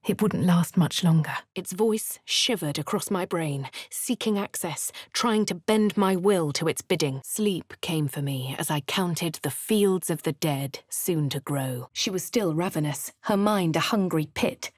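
Posh English heroine: composed, haughty, husky low tone, dry studio sound. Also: female voice, a little slow, hardly any pauses, dry recording. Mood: measured. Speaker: player character. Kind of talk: video game voice lines and narration.

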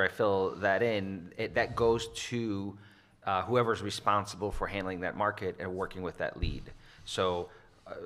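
Low-voiced, bright-toned man fielding questions. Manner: hesitant, many restarts and fillers. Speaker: conference presenter. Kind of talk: Q&A after a conference talk.

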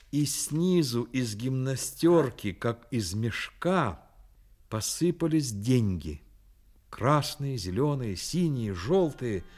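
An actor playing the storyteller of a radio play, narrating in a literary style. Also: male voice, medium pitch. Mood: measured. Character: storyteller narrating a boy's life.

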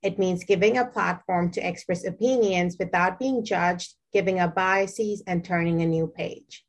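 A woman's voice: lowish voice, moderate pace. A woman from Nepal, a graduate student, giving a polite, prepared introduction on a video call. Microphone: webcam video call.

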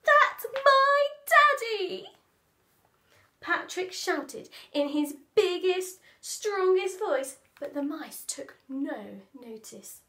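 A woman in her thirties reading a children's story aloud in an expressive, dramatic manner. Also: high-pitched, slow pace, hardly any pauses. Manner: expressive, dramatic, with stage-whispered asides. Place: small room at home, indoors.

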